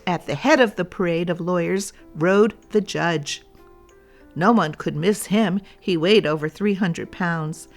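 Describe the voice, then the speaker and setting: A woman reading a storybook aloud for children, deliberately and addressed straight to young listeners. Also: lowish voice, soft background music.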